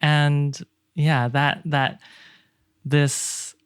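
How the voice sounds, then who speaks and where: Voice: mid-range voice, little pitch movement, very slow, clean tone, dry recording, studio quality. A man speaking as a podcast guest in a casual discussion, thinking aloud in a reflective, tentative way. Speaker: game developer.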